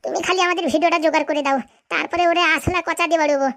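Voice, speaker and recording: A woman's voice: very high-pitched, steady tone, very fast, fairly bright tone. A woman voicing an earnest, eager visitor out for revenge in a comedy cartoon, speaking colloquially and deferentially. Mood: determined. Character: earnest, vengeful visitor seeking evidence.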